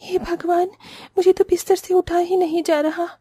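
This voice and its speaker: A woman's voice: extremely high pitch, level tone, normal speed, dark tone, no room sound, voice pushed up. A woman voicing a haughty, spoiled and showy young rich sister-in-law in a cartoon family story. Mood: sick, regretful. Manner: scornful, complaining, showy.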